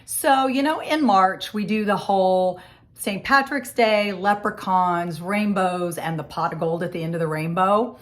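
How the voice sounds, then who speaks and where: A woman with a scratchy, hoarse voice from a cold, chatting casually through a makeup tutorial in her home office. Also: mid-range voice, measured pace. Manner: chatty, friendly step-by-step explaining. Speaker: makeup vlogger.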